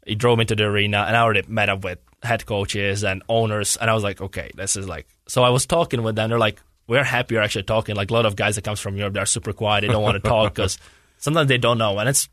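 Young man, low dry voice. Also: steady tone, quick pace, hardly any pauses, a bit bright, dry recording. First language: Czech. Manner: searches for words in a second language, rambling and earnest. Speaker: guest, hockey player. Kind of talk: casual interview.

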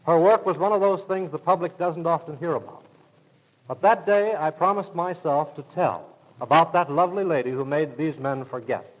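An American man giving a formal, scripted 1940s radio address as an armed forces officer, speaking in earnest, measured oratory. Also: a little high. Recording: dull, band-limited 1940s radio recording.

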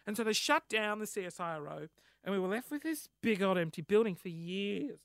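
Hoarse Australian podcast host, bright and animated, self-mocking rambler. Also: male voice, high voice, brisk, no echo, clean studio sound. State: hoarse, losing his voice. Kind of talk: casual solo storytelling.

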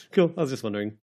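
A man's voice: mid-range voice, dark tone. An Australian man co-hosting a podcast, talking casually with a dry, teasing manner. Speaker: podcast co-host.

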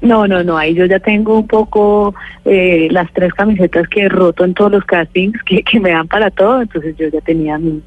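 A woman's voice: lowish voice, moderate pace, few pauses, no room sound. Colombian woman over a tinny phone line. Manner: thoughtful, many fillers and restarts. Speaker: actress, interview guest. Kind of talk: casual phone interview.

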